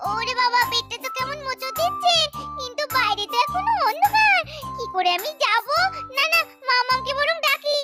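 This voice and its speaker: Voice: extremely high pitch, big pitch swings, moderate pace. A performer voicing a frightened, whiny little village girl who pleads urgently in a children's doll story. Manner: whiny, exclaiming, repeats calls and pleas. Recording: children's music behind the voice.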